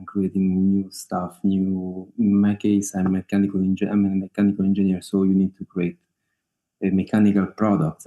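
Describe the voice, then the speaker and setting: A man's voice: sits low, warm tone, low-quality recording. A man in his thirties from Italy with an Italian accent, talking over a video call as an interview guest, hesitant with many fillers and frequent laughs. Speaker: guest singer-songwriter.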